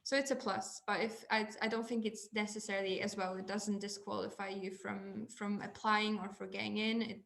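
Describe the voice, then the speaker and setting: A woman's voice: medium pitch, gravelly, a bit bright. A young woman whose first language is Polish, speaking on an online panel and often restarting her sentences. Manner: hesitant, frequent restarts. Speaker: think tank panelist. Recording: echoey room.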